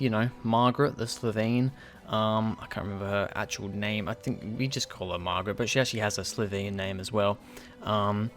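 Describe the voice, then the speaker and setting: A young man from West Yorkshire casually reviewing and ranking episodes, with frequent ums and restarts. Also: medium pitch. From West Yorkshire, England. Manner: chatty, lots of ums and restarts. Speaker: video host ranking episodes.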